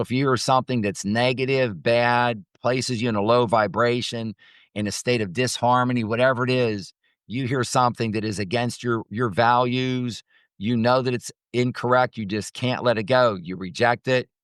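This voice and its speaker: A man's voice: medium pitch, steady tone, normal speed, a bit bright, clear, resonant tone, no echo, studio quality. A man speaking as a motivational coach and host, teaching off the cuff in an emphatic way and repeating phrases for stress. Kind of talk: spontaneous motivational teaching talk.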